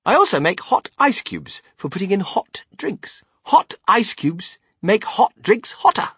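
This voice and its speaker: A man voicing an excitable, eccentric, impatient chocolate-factory owner in a theatrical storybook reading. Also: high-pitched, breathy edge, no room sound, pitched above the performer's own voice, exaggerated voice. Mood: gleeful. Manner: theatrical, exclamatory, brisk and dismissive.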